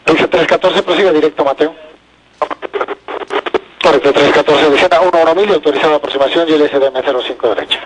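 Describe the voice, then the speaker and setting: A man's voice: fairly high pitch, fast talker, long pauses. A man with a Mexican accent working as an air traffic controller, speaking clipped phraseology over aviation radio.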